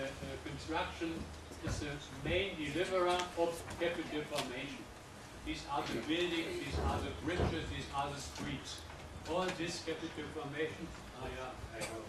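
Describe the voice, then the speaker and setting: An older man with a German accent giving a methodical lecture in non-native English, repeating his points, with a loud voice. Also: on the high side. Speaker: professor. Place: large lecture hall. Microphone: room microphone, often moving away from it. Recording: echoey hall, distant mic, loud background noise.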